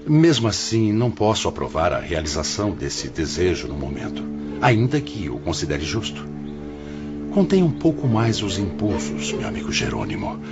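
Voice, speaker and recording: A wise, humble older male spirit with a very deep voice and a Brazilian accent. His solemn, formal counsel rises and falls expressively and patiently, as in a religious radio drama. Music plays clearly behind him. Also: huge pitch swings, normal speed, exaggerated voice. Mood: gently firm. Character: serene, humble older male spirit, director of afterlife hospital.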